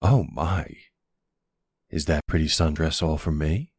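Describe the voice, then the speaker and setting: Casting: male actor as silver-fox mob boss for ASMR roleplay, middle-aged, extremely low husky voice, unhurried, courteous and flirty. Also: darkish tone, no room sound, studio quality, full character voice. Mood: warm, flirtatious.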